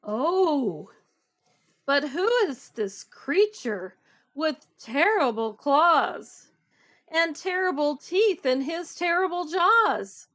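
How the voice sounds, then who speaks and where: A woman's voice: high voice, animated, extremely slow, fairly bright tone, exaggerated voice. A woman voicing a small, clever, boastful mouse from a children's storybook, in a bold singsong manner. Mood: alarmed.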